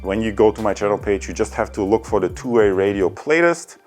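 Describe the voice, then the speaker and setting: A man with a fairly low voice hosts a casual product review. He explains things step by step in an enthusiastic way, speaking straight to his viewers. Faint music plays behind his voice. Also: animated, a little fast, a little mellow. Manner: enthusiastic, addresses viewers directly.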